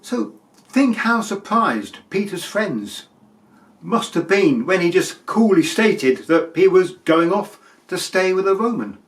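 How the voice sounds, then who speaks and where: A man giving a sermon as a preacher, explaining things with emphatic pauses and repetition. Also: sits high, sing-song.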